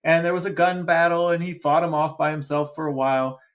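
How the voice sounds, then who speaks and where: A man co-hosting a podcast, chatting animatedly with frequent restarts and excited jumps in pitch. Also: a little fast. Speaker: podcast co-host. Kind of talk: casual chat.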